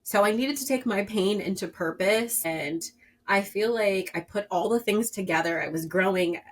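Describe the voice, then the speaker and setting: A woman in her thirties, a podcast guest, talking earnestly and emphatically, her voice climbing high and loud when she stresses a point. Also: normal speed, slight background noise. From Puerto Rican and Dominican background. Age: 30s. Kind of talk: candid personal interview.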